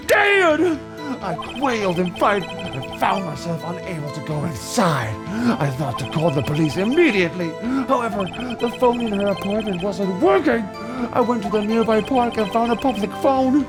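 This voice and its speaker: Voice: medium pitch, natural voice. A performer voicing a shifty, nervous newspaper seller, a man giving testimony in a video game reading. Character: shifty, jumpy middle-aged male newspaper seller witness.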